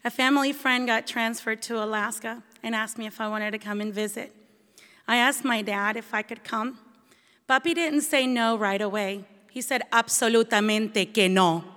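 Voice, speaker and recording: Woman telling her story, kinda high voice, echoey hall sound. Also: steady tone, moderate pace, a bit bright. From Venezuela. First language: Spanish. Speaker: bilingual teacher. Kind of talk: scripted personal storytelling. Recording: long hall reverb.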